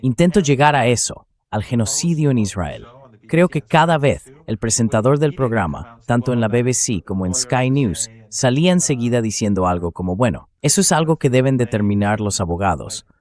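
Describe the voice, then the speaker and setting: A man's voice: medium pitch, expressive, moderate pace, darkish tone. A man giving conversational, emphatic political commentary as an interview guest, often digressing as he talks.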